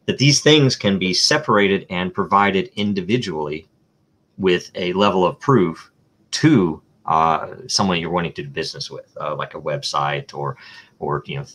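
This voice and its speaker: Deep-voiced guy on a live interview, lots of um and uh, restarts his sentences, walks through examples. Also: moderate pace, fairly bright tone. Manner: thinks aloud with frequent ums, uhs and restarts. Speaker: guest explaining technology. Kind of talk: live online interview answers.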